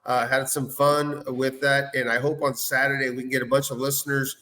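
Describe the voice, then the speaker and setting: A man's voice: medium pitch, flat delivery, a little fast, sharp tone, poor audio. A man co-hosting a casual, opinionated chat in an emphatic, insistent way. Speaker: show co-host.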